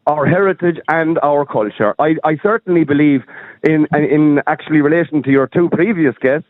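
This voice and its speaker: An earnest man calling in to talk radio. His fairly high voice comes through a dry, tinny phone line, and he often restarts and repeats his words. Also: moderate pace, no echo. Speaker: caller. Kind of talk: phone-in opinion. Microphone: telephone.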